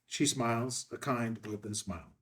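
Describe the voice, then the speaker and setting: A man's voice: mid-range voice, normal speed, a bit bright, distant mic. A man reading screenplay stage directions as scripted narration. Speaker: stage directions reader at a table read.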